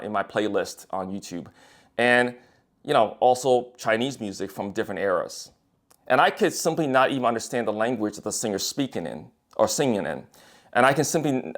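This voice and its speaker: A young man speaks in a loose, unscripted monologue, blunt and peppered with profanity. He circles his points with restarts and fillers, in an ordinary mid-range voice. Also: mid-range voice. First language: English. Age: young adult. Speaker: writer and designer. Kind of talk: casual monologue to camera.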